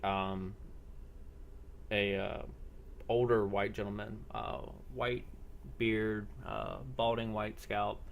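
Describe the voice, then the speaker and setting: A man with a low, gravelly voice acts as game master of a role-play. He describes scenes in halting phrases with frequent pauses, over a faintly noisy voice-chat line. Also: little pitch movement. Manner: halting, pausing between phrases. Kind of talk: improvised narration of a tabletop-style role-play.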